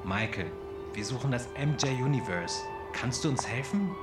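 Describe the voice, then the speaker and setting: Man playing an animated, echoey spaceship captain. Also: medium pitch, normal speed, loud music behind the voice, lightly acted. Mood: brisk, commanding. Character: male spaceship captain leading a bumbling adventurous crew. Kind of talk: German audio drama acting.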